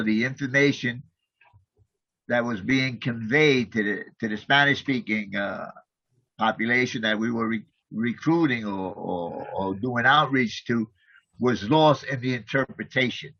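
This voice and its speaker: Man, outreach worker, husky and dry, talks through points on a lo-fi call. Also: mid-range voice, measured pace, dry recording. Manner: explanatory, repeats words as he goes. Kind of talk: online group meeting.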